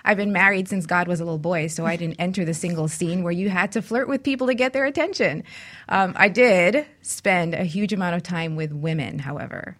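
A woman's voice: on the low side, brisk, fairly bright tone. A woman hosting a radio talk show in a conversational style, explaining things openly.

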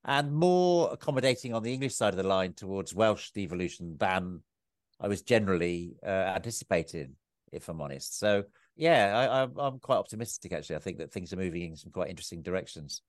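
A man's voice: low voice, expressive, brisk, no room sound, studio quality. A man speaking as a podcast guest and author, talking in a reflective way, often repeating words as he starts a thought. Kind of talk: reflective conversation.

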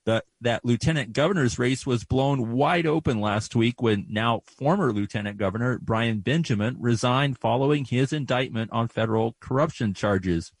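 A male radio host, reading a guest introduction in a measured way. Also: mid-range voice, breathy edge, no echo. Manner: measured, reads prepared introductions. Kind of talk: radio interview.

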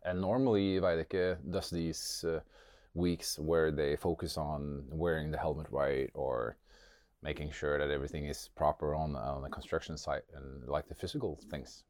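A man's voice: a bass voice, fairly even intonation, normal speed, a little mellow, no room sound, studio quality. A man from Norway with a Norwegian accent, speaking reflectively as an interview guest, hesitant and repeating words as he searches for phrasing. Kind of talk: reflective interview talk about personal experience.

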